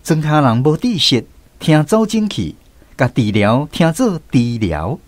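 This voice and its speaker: A middle-aged man narrating a scripted first-person radio story in a folksy, expressive way, with a Taiwanese accent. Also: fairly high pitch, unhurried, no room sound. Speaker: drama narrator.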